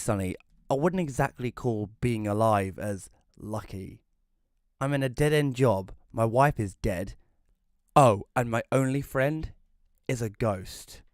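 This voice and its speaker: A man in his thirties playing a glum, self-deprecating widower who narrates an audio drama in a dry, deadpan way. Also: fairly low pitch, no room sound, lightly acted. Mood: bitter. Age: 30s. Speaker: narrator and lead character. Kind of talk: first-person scripted narration in audio drama.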